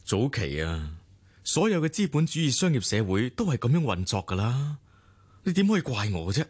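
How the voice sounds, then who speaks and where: A man's voice: mid-range voice, sing-song, normal speed, a little mellow, husky, no room sound. A man with a Hong Kong accent acting a devoted, patient businessman husband in a radio drama, earnest and placating. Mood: protesting.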